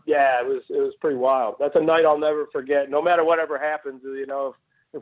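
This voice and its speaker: A comedian in his forties from Cleveland, telling rambling, animated stories in a casual interview over a remote call. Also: medium pitch. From Cleveland, Ohio. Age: 40s. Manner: rambling, animated storyteller who jumps between asides. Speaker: stand-up comedian guest. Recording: tinny phone-like call audio.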